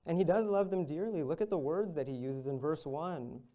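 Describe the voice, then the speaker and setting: A young man, a visiting minister, preaching a sermon at a pulpit in an earnest, measured way that rises on exhortations. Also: a little high, mellow. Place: church room with large windows, at a pulpit.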